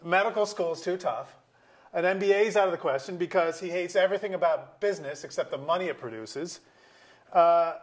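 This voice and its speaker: A man acting a blunt, sardonic middle-aged husband and father in a staged comedy reading. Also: high voice. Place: auditorium stage.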